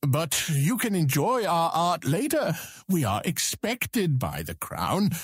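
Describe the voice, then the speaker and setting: A man's voice: on the high side, sing-song, takes it slowly, husky, no echo, studio quality, exaggerated voice. A man acting as a formal, courteous court second in an audiobook drama, with a proud manner.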